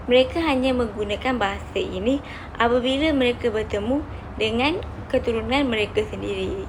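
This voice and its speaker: A woman's voice: on the high side, noisy background. A young woman with a Malaysian accent formally reading out a scripted student presentation. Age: young adult. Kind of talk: scripted presentation read aloud.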